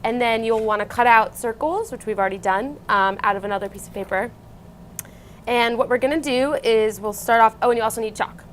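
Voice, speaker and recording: A woman's voice: a little high, brisk, a bit bright. A woman co-hosting a craft show, demonstrating steps casually and chatting around a table indoors. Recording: noisy room with table sounds.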